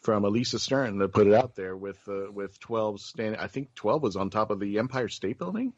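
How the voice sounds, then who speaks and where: A man's voice: fairly low pitch, expressive, brisk, dry recording, dull audio. A man hosting a web show, chatting casually as he interviews a guest.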